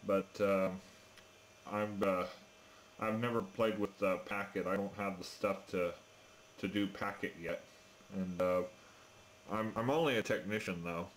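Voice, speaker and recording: Low-voiced man on a slightly noisy radio. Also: fairly even intonation, unhurried, long pauses. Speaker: amateur radio operator. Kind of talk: casual ham radio chat. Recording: noisy amateur radio transmission.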